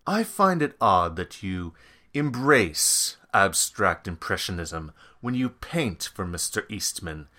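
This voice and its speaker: A man voicing a menacing male goon enforcer who talks slowly and coldly. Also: deep voice, sing-song, bright, forceful tone, pitched below the performer's own voice, full character voice. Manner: slow, measured, coldly menacing.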